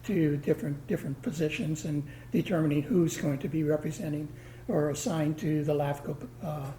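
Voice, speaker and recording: A soft-spoken man at a public meeting, hesitant and full of fillers. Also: on the high side, steady tone, brisk, a little hiss or hum. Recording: echoey meeting room.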